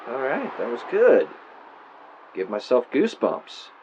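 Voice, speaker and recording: A man's voice: medium pitch, huge pitch swings, measured pace, a little mellow. An actor playing a male true-crime podcaster, telling a spooky story theatrically with wry asides into a clip-on mic. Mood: casual, wry. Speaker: podcast host character. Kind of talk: audio drama, true-crime narration recorded on tape. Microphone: mic clipped to shirt. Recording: muffled noisy tape, clip-on mic in car.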